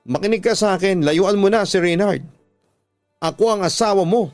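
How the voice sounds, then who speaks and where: A fairly high-voiced, remorseful husband begs with earnest feeling, as a man acts him out. He sits close in a dry radio-drama sound with faint music behind him. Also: moderate pace, darkish tone, no room sound. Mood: pleading. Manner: earnest, pleading.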